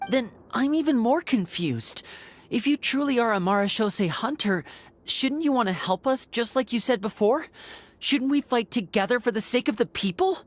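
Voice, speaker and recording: A woman's voice: on the high side, lively intonation, moderate pace. A woman voicing an earnest, questioning young adventurer in an anime video game, with music behind her.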